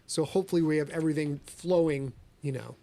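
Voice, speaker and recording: A man hosting a livestreamed podcast, reading his own fiction aloud and chatting in a quick, energetic, profane, joking way. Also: on the high side. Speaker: author and podcast host. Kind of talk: livestream chat and story reading. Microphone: livestream camera setup.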